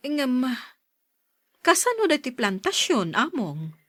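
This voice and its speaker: A woman's voice: sits high, very animated, takes it slowly, a bit bright, dry recording, studio quality, exaggerated voice. A woman playing an obliging, inquisitive worker's wife and mother in an audio drama, with a deferential manner.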